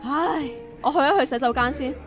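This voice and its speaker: A woman's voice: high voice, fairly quick, faint music behind, natural voice. A woman playing a blunt, exasperated young woman with a dismissive manner in a comic radio drama.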